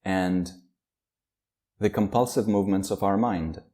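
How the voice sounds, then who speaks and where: A man leading a guided meditation, speaking slowly and calmly with long pauses between phrases. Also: sits low. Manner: slow, calm, with long pauses. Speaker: meditation teacher.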